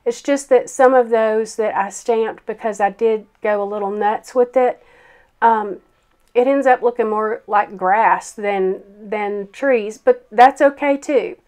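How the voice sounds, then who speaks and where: A woman's voice: a little high, a little slow, a little mellow. A woman, a grandmother, chatting through a card-making tutorial with a froggy voice from a summer cold, full of ums and self-deprecating asides. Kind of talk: casual craft tutorial voice-over.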